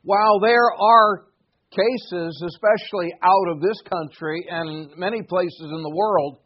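A man's voice: sits high, measured pace, slightly rough, dull audio. A man in his sixties preaching a sermon in an earnest, emphatic way, driving points home by repeating phrases. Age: 60s.

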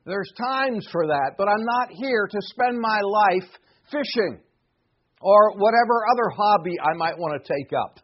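A man's voice: high voice, lively intonation, measured pace, lo-fi sound. A man in his sixties preaching a sermon in an earnest, emphatic way, driving points home by repeating phrases. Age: 60s.

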